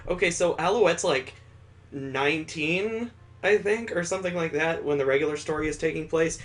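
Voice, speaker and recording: Higher-voiced man, rambling wry game commentary. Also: moderate pace, a bit bright. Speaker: gaming host. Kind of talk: casual visual novel read-aloud with commentary.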